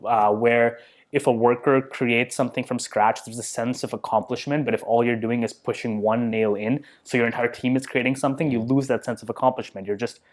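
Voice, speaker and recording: A man's voice: medium pitch, fairly even intonation, fairly quick. Podcast guest, male, thirties, easygoing, humble, thinks aloud. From India. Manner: thoughtful, self-deprecating, filler-heavy. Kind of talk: casual interview conversation.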